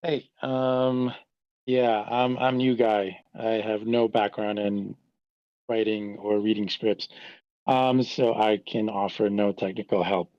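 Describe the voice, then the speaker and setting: A man's voice: fairly low pitch, little pitch movement, slow, dry recording, muffled recording. A man chatting casually and modestly as a newcomer, hesitant between phrases, on online meeting audio. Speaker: newcomer giving audience feedback. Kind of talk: casual chat.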